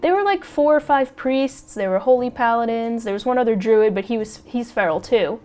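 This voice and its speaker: A woman hosting a casual podcast chat, a little raspy as she gets over a cold, chatty and excitable and quick to laugh. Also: fairly high pitch, soft background music. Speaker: podcast host.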